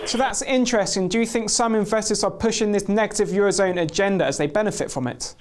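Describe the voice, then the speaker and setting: High-pitched male TV news presenter. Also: fast. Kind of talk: scripted broadcast news interviewing.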